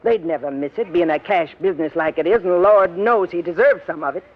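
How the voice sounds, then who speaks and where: An actress playing a bitter, domineering middle-aged working-class wife in 1950s radio drama, brusque and scornful, with ungrammatical speech. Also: female voice, fairly low pitch, a little fast, lightly acted. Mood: bitter, defiant. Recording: dull old 1950s radio recording.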